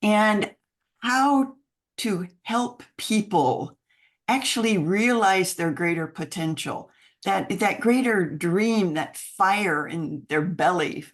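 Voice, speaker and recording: An older American woman, a mother and grandmother, speaking as a guest on a podcast interview in an enthusiastic, expressive way with frequent laughter. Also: mid-range voice, slow pace. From United States. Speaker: guest, mentoring program founder.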